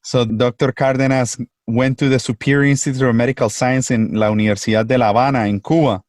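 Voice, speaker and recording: A man's voice: mid-range voice, fairly even intonation, fast, darkish tone, dry recording, clean studio sound. A man hosting a podcast interview, speaking formally and effusively with emphatic phrasing. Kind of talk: formal, enthusiastic interview introduction.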